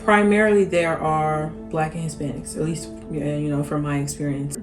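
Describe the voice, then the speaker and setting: Low-voiced woman, thoughtful and hedging, in an echoey room with music under. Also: flat delivery, normal speed. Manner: thoughtful, hedging with frequent fillers. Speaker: Camden resident interviewee. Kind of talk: documentary interview.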